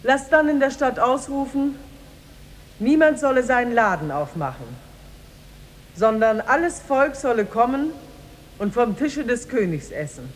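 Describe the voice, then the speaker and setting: A woman's voice: fairly high pitch, big pitch swings, measured pace, long pauses. A woman playing a young former slave who rules as a disguised sultan, formal and commanding, in a 1950s German radio play. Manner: formal, declamatory, imperious.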